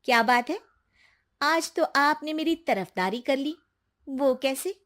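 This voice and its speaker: A woman acts out a young Indian daughter-in-law in a high, expressive voice. She is sarcastic and quick to talk back, in the style of a domestic cartoon drama. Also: full character voice. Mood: teasing. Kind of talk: acted Hindi family story cartoon.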